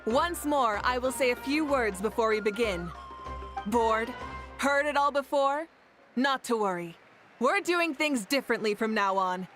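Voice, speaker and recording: High-voiced, confident young heroine. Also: female voice, expressive, unhurried, a bit bright, faint music behind. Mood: rousing, proud. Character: confident, friendly young woman leader, playful and rousing. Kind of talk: anime game voice acting.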